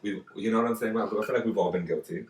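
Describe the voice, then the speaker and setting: A man hosting a podcast, chatting casually in an animated, gossipy way and cursing freely. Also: lowish voice, fast talker, roomy sound, distant mic. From Dominican family, learned Spanish first. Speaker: podcast host. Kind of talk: casual chat.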